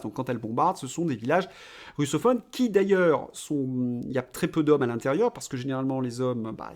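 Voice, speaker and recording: A man's voice: mid-range voice, darkish tone. A man giving a spoken political commentary to viewers, explaining insistently and often adding little tag words and restarts. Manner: insistent, explaining, many "hein" tags and restarts. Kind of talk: spoken monologue addressed to viewers.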